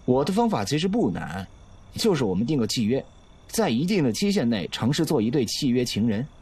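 Actor as young male college suitor, radio drama: sly, persuasive, animated mid-range voice. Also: mid-range voice, fairly quick, husky. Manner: coaxing, teasing. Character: sly, teasing male college student, playfully wounded suitor. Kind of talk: Chinese audio drama acting.

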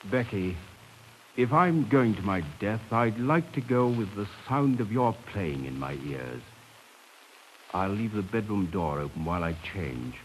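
An actor playing a bluff, devoted English cavalry officer with a clipped manner in a 1950s radio drama. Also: male voice, fairly low pitch, animated, unhurried, rough recording. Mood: bluff, resolute. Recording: hissy 1950s radio recording.

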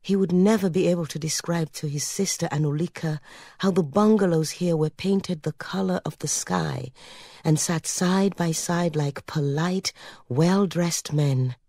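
A woman reading literary fiction as an audiobook narrator, measured and expressive in her storytelling. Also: fairly low pitch, dry recording, studio quality.

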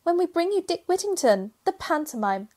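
A woman's voice: very high voice, big pitch swings, normal speed, darkish tone. A woman playing a magical fairy spirit who narrates a pantomime radio play in theatrical rhyme. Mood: cheery, announcing. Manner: theatrical rhyming delivery.

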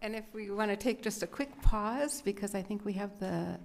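A woman's voice: medium pitch, normal speed, vocal fry. A middle-aged American woman hosting an event from a podium microphone in an indoor gallery. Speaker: event host and narrator. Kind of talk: reading a scripted historical introduction at a podium. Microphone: gooseneck podium microphone.

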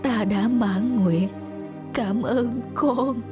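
A woman voicing a frail, dying homeless old woman who speaks weakly and haltingly, for an animated story. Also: on the high side. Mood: frail, tender. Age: older. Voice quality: weak, breathy and halting. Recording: loud background music.

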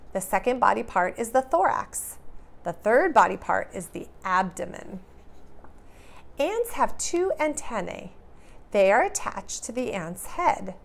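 A woman's voice: fairly high pitch, vocal fry. A woman preschool teacher giving a read-aloud lesson for young children, with careful, simple, questioning delivery.

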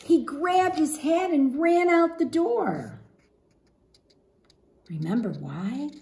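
Woman in her fifties, children's storyteller, very lively intonation, deliberate slow pace, echoey living room. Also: high voice, gravelly. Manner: deliberate, dramatic emphasis with questions to listeners. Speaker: teacher reading a picture book to children. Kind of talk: expressive read-aloud storytelling for children. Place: large open living room at home, indoors. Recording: roomy home echo.

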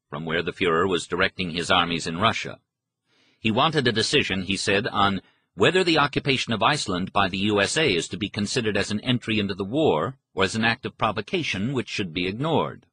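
A man reads a history audiobook in a measured, precise, formal manner. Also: on the low side, pushed and bright, husky, no room sound. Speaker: audiobook narrator. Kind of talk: scripted narration of a history book.

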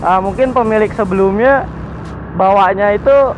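A man's voice: sits high, normal speed, music in the background, rough recording. A young man, chatting casually while riding a motorcycle through city streets at night. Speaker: motovlogger. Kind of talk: casual slangy motovlog chat.

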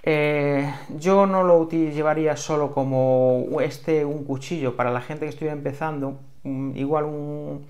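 Higher-voiced man with a Spanish accent. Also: flat delivery, moderate pace, few pauses, darkish tone. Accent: Spanish from Spain. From Galicia, Spain. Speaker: bushcraft knife reviewer. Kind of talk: casual hands-on product review.

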